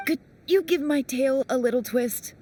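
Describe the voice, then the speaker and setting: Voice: sits high, moderate pace, far from the mic, a little hiss or hum, natural voice. An actor voicing a friendly, fussy talking origami creature with a long neck, chatty and explaining. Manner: chatty, gently instructive. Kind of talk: video game voice acting. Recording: voice set back from mic, game music.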